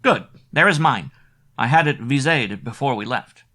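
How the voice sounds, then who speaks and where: A man's voice: medium pitch, animated, moderate pace, bright, forceful tone, voice pushed up. A man voicing a gloomy, uneasy musician friend in a storybook reading. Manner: uneasy, pessimistic. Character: gloomy male bass player, a worried companion.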